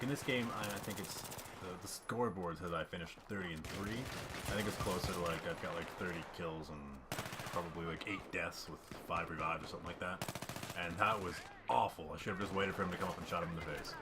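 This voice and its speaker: Young male gameplay commentator, rambling and self-deprecating, low-ish voice, stifling a cough, gunfire clearly in the background. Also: background noise. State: fighting off a cough. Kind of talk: casual voice-over commentary over gameplay. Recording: game gunfire clearly heard behind. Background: game gunfire and ambience.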